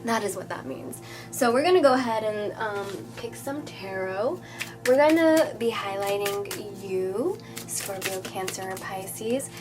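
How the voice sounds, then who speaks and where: A woman's voice: mid-range voice, animated, measured pace. Nasal-sounding woman reading tarot, chatty. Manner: chatty, reassuring, many fillers and "okay?". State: nasal from a cold. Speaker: tarot reader. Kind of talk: casual tarot reading to camera.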